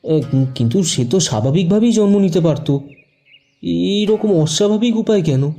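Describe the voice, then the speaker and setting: An actor playing an anxious, bewildered husband in an audio horror drama, with a troubled, questioning manner. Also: male voice, high voice, expressive, normal speed, mellow. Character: anxious, bewildered husband seeking help for wife. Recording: background music under the voice.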